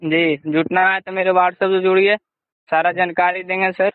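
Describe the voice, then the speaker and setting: A man working as a recruiter, making an unscripted pitch over the phone. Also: little pitch movement, moderate pace, no room sound. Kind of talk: unscripted sales phone call. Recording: tinny, narrowband phone line.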